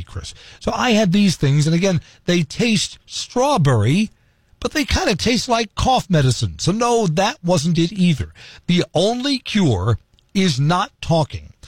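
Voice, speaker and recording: Husky-voiced male morning radio host. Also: on the high side, no echo. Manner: chatty, self-deprecating storytelling. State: recovering from lost voice. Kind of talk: casual radio monologue. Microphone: studio microphone.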